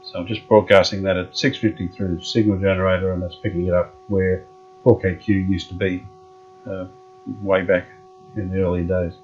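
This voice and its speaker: Lower-voiced man, casual hands-on workshop narrator who thinks aloud, dull lo-fi sound. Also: little pitch movement, slight background noise. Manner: thinks aloud while working, chatty and unhurried. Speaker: vintage radio restorer.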